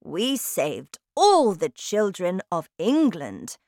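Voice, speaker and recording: Woman as a calm, slow, sly old grandmother. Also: fairly low pitch, no room sound, clean studio sound, exaggerated voice. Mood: calm, reassuring. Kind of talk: children's storybook character voice.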